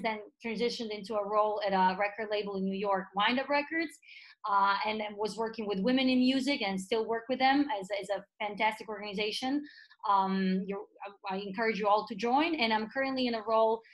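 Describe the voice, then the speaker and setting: A woman's voice: mid-range voice, rough recording. A woman from Serbia introducing herself on a panel, speaking with frequent fillers and repeated words. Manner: hesitant, repeats words, friendly. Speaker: panelist, business development.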